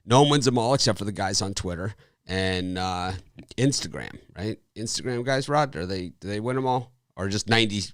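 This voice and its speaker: A man's voice: on the low side, lively intonation, brisk, a bit bright, no echo, clean studio sound. A man hosting a live sports betting show, chatting casually and rambling a little, with restarts and short laughs. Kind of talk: casual live-stream chat.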